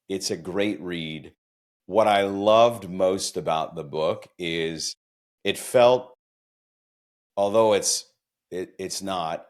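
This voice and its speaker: A man hosting a podcast interview, chatty and enthusiastic, asking long winding questions. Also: low-pitched. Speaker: podcast host.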